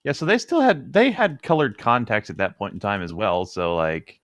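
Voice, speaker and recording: Lowish, animated male co-host. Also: normal speed, no echo, studio quality. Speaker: podcast co-host reading a novel aloud with commentary. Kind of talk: casual banter and read-aloud.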